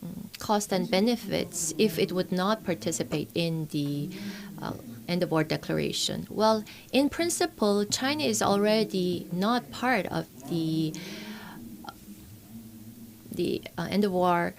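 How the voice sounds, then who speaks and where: A woman giving simultaneous interpretation at a forum, speaking in halting phrases with pauses and restarts. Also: lowish voice, lively intonation, crowd noise.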